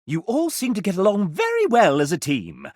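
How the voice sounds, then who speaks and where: Sassy guide, wildly swooping pitch. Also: male voice, wildly swooping pitch, moderate pace, no echo, clean studio sound, exaggerated voice. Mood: chatty, arch. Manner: sassy, arch, theatrical. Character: sassy, theatrical, chatty male guide to dates. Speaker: narrator and guide. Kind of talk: scripted video game narration.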